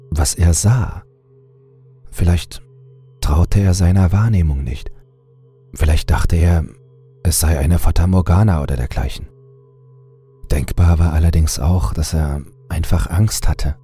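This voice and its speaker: A man's voice: low voice, mellow, slightly rough. A man reading a scripted horror story as narrator.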